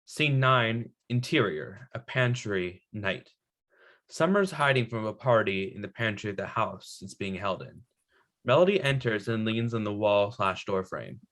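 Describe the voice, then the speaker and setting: A man narrates a screenplay at a table read, giving scene headings and stage directions. His voice is fairly low. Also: unhurried, a bit bright.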